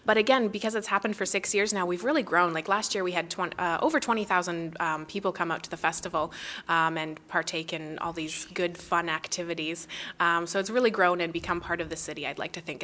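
A woman's voice: lowish voice, bright, forceful tone. A woman with a Canadian accent chatting enthusiastically and casually as a festival organizer being interviewed.

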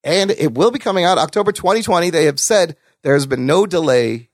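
Male podcast host, enthusiastic fan delivery, very animated pitch, dry studio-close sound. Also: fairly quick, no room sound. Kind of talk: casual entertainment news chat.

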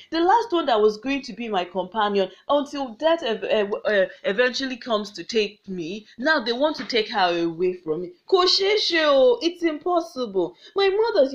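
A woman's voice: medium pitch, natural voice. A woman with a Nigerian accent voicing a grieving, fierce old village mother who pleads with her ancestors. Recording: slightly muffled lo-fi recording.

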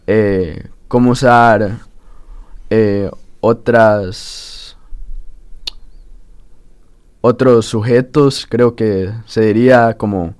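A young man, a student, speaking thoughtfully in a group discussion, pausing often with "eh". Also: on the low side, a little mellow. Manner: measured, thoughtful, many "eh" pauses.

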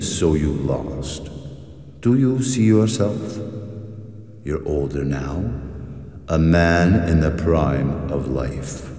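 Deep, echoing, solemn spirit. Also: male voice, warm tone. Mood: grave accusation. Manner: solemn, questioning, taunting. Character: stern, probing ghostly spirit showing a man his past. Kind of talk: theatrical radio drama acting. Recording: echoey long reverb, faint music behind.

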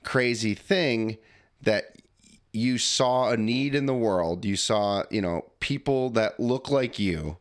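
A man's voice: lowish voice, slow pace. A young man hosting a podcast, chatting casually and a little nasally, rambling with fillers. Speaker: podcast host.

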